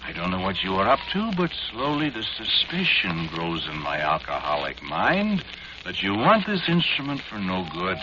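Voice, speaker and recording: A deep-voiced, wry old-time radio doctor. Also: male voice, huge pitch swings. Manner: dry, sardonic, measured. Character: wry male inventor doctor, drinking, wary. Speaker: narrator and lead character. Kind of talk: 1940s radio drama narration. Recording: dull lo-fi 1940s radio recording with loud hiss.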